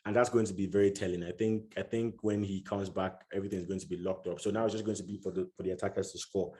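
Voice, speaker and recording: A man's voice: low voice, steady tone, fast, mellow. A man chatting casually about football as a podcast guest, often restarting and repeating words as he thinks aloud.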